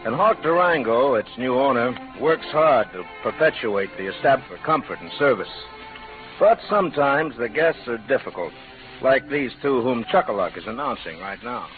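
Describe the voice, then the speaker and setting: A man narrating a 1940s radio Western in a measured, storytelling manner. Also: medium pitch, very animated, lightly acted. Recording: tinny old radio recording, music behind.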